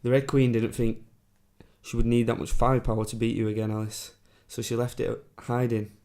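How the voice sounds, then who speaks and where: A man's voice: medium pitch, level tone, brisk, dark tone, natural voice. A man playing an eccentric, loyal companion in a fantasy radio drama, with a wry, rambling manner. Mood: wry, explaining.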